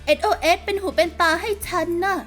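A woman voicing a bossy, sardonic young rebel leader in a video game fan dub, with a brisk, teasing delivery. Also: extremely high pitch, fairly bright tone. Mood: cool, confident. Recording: music behind the voice.

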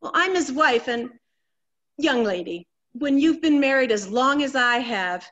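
A knowing married lady, played by a young woman in a stage comedy. Her voice is very high, with a prim, confiding delivery. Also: expressive, measured pace, a bit bright, lo-fi sound, full character voice. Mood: confiding, superior. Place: indoors at home, video call.

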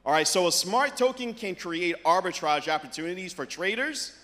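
A middle-aged man with a high voice delivers an upbeat keynote into a handheld mic on a big stage. His points are punctuated with "all right," and the sound carries a long hall reverb. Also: animated, moderate pace, fairly bright tone. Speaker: conference keynote speaker. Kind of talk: presenting a tech keynote. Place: large conference stage. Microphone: handheld microphone.

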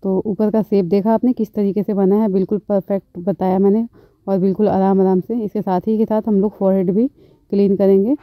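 Calm woman beautician explaining threading. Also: mid-range voice, brisk, dry recording, narrow, tinny audio. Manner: calm, instructive, repeats phrases. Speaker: beautician, channel host. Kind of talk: step-by-step tutorial narration.

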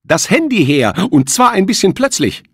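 Man voicing a defiant teenage boy, pitched high. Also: big pitch swings, normal speed, a bit bright, slightly rough, no room sound, clean studio sound, heavily acted. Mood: commanding. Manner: urgent, commanding. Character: defiant, nervy teenage boy bargaining for his life.

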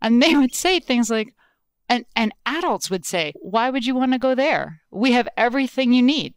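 A woman, an author whose first language is Lithuanian, telling stories in a lively, casual way with frequent laughs. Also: on the high side, normal speed, clear, resonant tone, no echo. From Texas, USA. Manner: animated, laughs often, many fillers. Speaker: author and podcast guest. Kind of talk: casual conversational storytelling.

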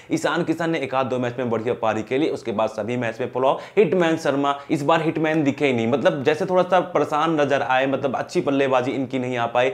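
Young man chatting cricket picks on camera. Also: medium pitch. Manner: chatty, addresses viewers as brothers. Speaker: cricket fantasy team predictor. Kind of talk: casual cricket analysis to camera. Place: small indoor studio, grey backdrop. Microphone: lapel mic.

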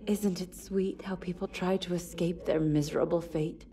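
A woman voicing a cruel, taunting younger sister in a horror game, mocking and sneering with drawn-out teasing. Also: lowish voice, fairly even intonation, dark tone, a little hiss or hum, close to an everyday voice. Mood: mocking, contemptuous.

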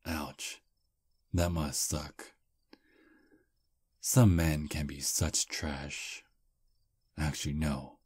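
A man voicing a charming, polite male vampire on a first date, speaking softly and flatteringly in an ASMR roleplay. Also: extremely low pitch, very slow, pauses often, slightly rough, dry recording, full character voice. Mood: smooth flirting. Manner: soft, flattering, gentlemanly. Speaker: voice actor.

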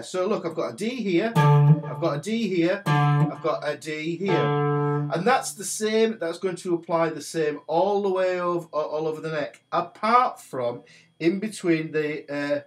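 Stuffy-nosed man teaching guitar at home. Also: sits high. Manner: chatty, talks while playing guitar. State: stuffy nose from a cold. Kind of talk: casual video lesson. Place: small home music studio. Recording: guitar notes under the voice. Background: guitar playing.

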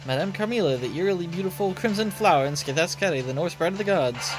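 A man's voice: high voice, animated, fast talker, music in the background. A man voicing a sly older male game villain, courtly and smug. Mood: sly, theatrical.

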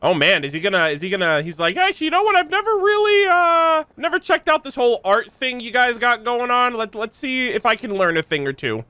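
A young man reacting to a game as a streamer, expressive, slangy and casual, close on the mic. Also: very high-pitched, brisk, hardly any pauses, clean tone, no room sound. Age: young adult. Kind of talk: excited casual gameplay reaction. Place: small room at home, indoors. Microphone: close microphone on boom arm.

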